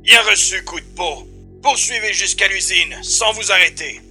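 A man's voice: high voice, moderate pace, pushed and bright, faint music behind. A man playing a curt space station guard calling over the radio in an audio drama. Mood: stern.

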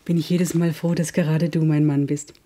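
A woman playing a devoted, soft-spoken wife in a German radio crime drama. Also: sits low, flat delivery, moderate pace. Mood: affectionate. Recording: faint noise and clicks.

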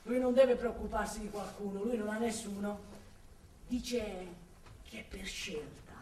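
An actress performing a radio drama monologue as a weary, anxious, brooding and tender-hearted police officer. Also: female voice, mid-range voice, measured pace, warm tone, distant mic, slight background noise, lightly acted. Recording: very echoey room, off the mic, music behind.

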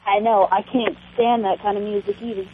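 A young man calls in to a radio show as an eager, agreeable fan. His voice is slightly breathy, and it comes through a thin phone line. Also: high-pitched, little pitch movement, a little fast. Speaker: caller.

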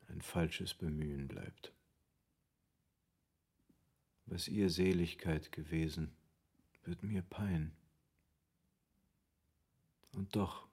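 Gravelly, despairing, grief-stricken nobleman. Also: low voice, darkish tone, full character voice. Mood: anguished. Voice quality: gravelly, husky. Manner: slow, anguished, halting lament. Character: despairing, grief-stricken nobleman longing to follow his lost love. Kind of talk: literary radio drama acting.

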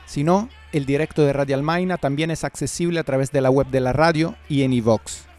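A man's voice: medium pitch, fairly quick, darkish tone, soft background music. A middle-aged man presenting a radio programme as its host in a scripted style.

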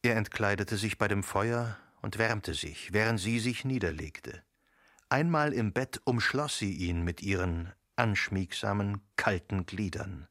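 A man narrating a literary radio play, reading in a measured, articulate way. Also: on the low side, fairly bright tone, no echo, clean studio sound.

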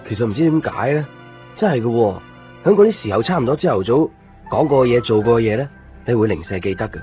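A man playing a gentle, reflective dog owner who narrates his own story in a radio drama. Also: medium pitch, lively intonation, fast talker, close to an everyday voice. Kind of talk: radio drama dialogue and first-person narration. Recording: muffled lo-fi radio recording, music behind.

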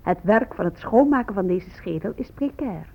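A woman's voice: medium pitch, animated, normal speed, vocal fry. A woman playing a detached reporter of academic findings in a radio play, reading a scripted report. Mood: factual. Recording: muffled, narrow radio play recording.

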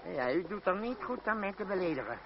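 A man's voice: sits high, animated, fairly quick. An actor playing a wily, middle-aged boss of a theatre troupe in an old radio play, pompous and unctuous, hemming as he schemes. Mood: cajoling. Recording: dull, noisy old radio recording.

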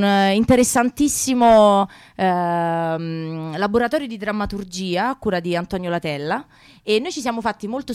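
A woman's voice: medium pitch, clear, resonant tone, dry recording, clean studio sound. A woman hosting a radio show casually, in an informal, hesitant manner with broken-off sentences.

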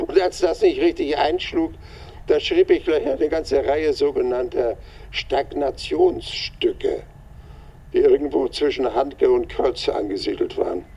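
An actor playing an older playwright who reminisces with wry self-mockery in a radio play. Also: male voice, mid-range voice, mellow, lo-fi sound, a little hiss or hum. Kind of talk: conversational radio play monologue.

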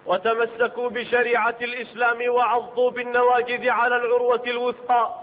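A man delivering a solemn, declamatory Friday sermon as a preacher in a mosque. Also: extremely high pitch. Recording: tinny phone-like sound in a reverberant hall.

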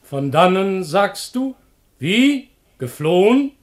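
A man's voice: high voice, sing-song, slow pace, exaggerated voice. A man playing an indignant, gullible poor peasant, speaking in stately declamation in a German classical comedy radio play. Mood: curious.

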